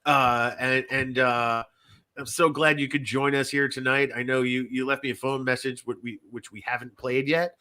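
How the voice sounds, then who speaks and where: A man with a bright, forward voice at an average pitch hosts a casual podcast. He talks in a loose, rambling way, circling back over his phrases and swearing freely. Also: flat delivery, moderate pace. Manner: rambling, repetitive, frequently swearing. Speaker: podcast host.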